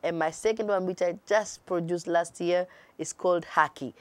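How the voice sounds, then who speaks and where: Kenyan-accented woman guest, expressive talker, dry close-miked voice. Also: lowish voice, moderate pace, no echo. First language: Swahili. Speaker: guest artist. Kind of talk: interview answers.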